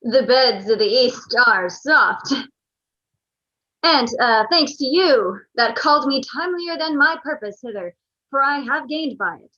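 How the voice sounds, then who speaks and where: A woman plays a confident military leader in Shakespearean radio drama. The voice is fairly high and forceful, declamatory and assured, on a slightly muffled lo-fi recording. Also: lively intonation, unhurried, exaggerated voice. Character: confident, soldierly general Antony facing down a rebel. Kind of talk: theatrical Shakespearean radio play acting.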